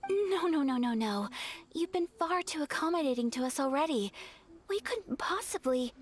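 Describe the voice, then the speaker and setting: A woman voicing a gentle, polite and shy young noblewoman in a video game, speaking softly and courteously. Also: high-pitched, expressive, normal speed. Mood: flustered. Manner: soft, courteous, flustered at times.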